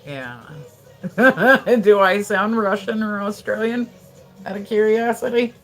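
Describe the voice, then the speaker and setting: A woman's voice: medium pitch, slow, a bit bright, faint music behind. Chatty American woman livestreaming from home. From United States. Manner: chatty, gossipy, loose and profane, lots of "like" fillers. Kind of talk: casual livestream chat, reading and answering viewers. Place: her house.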